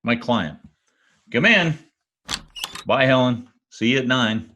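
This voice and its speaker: A man's voice: medium pitch, expressive, slow, sharp tone, highs cut off, slight background noise. A man playing a glib, wisecracking private detective in an old-time radio drama. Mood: teasing. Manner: glib, bantering.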